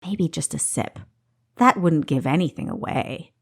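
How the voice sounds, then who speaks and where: A woman reading a story as an audiobook narrator, with a deliberate, expressive storytelling delivery. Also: low voice, vocal fry, husky. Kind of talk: expressive scripted fiction narration.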